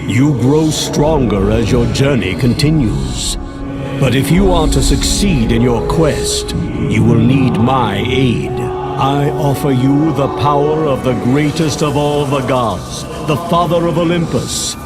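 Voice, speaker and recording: A man's voice: mid-range voice, unhurried, natural voice. An actor playing a commanding father god in a video game, grand, solemn and declamatory. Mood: majestic, commanding. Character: commanding male god, majestic father of Olympus. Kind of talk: dramatic video game acting. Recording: loud music over the voice.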